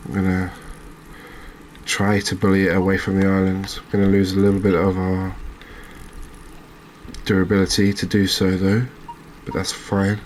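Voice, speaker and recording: A man with a low voice and very level intonation gives soft ASMR gameplay commentary. He sounds gentle and unhurried. Faint background ambience sits under his voice. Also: completely flat delivery, slight background noise. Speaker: video game streamer.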